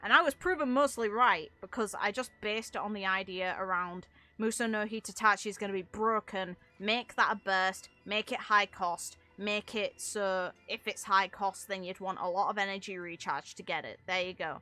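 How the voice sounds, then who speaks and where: A woman's voice: medium pitch, moderate pace, few pauses, pushed and bright, faint music behind. A woman game streamer giving casual, rambling livestream commentary, explaining things at length with casual swearing.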